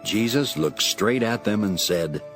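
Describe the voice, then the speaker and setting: Sober scripture narrator, slightly deep, expressive intonation, scripted storytelling, faint music underneath. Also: male voice, unhurried, gravelly, heavily acted.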